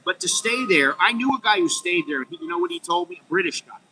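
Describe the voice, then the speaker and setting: A man's voice: high-pitched, lively intonation, quick pace, pushed and bright, slight background noise, rough recording. A middle-aged American man talking frankly in a rambling, unscripted monologue, full of restarts and "you know.". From United States. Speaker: vlogger.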